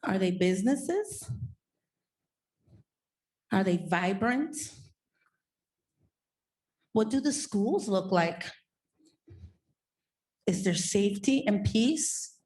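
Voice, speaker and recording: Woman, guided-imagery leader, slow steady questions, warm mellow tone. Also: medium pitch. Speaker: contemplation leader at a summit.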